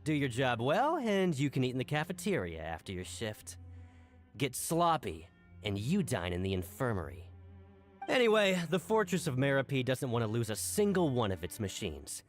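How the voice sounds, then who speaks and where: An actor playing a gruff, bullying prison foreman in a video game, stern, barking and condescending. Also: medium pitch, huge pitch swings, normal speed, soft background music. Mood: stern, threatening. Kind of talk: animated video game voice acting.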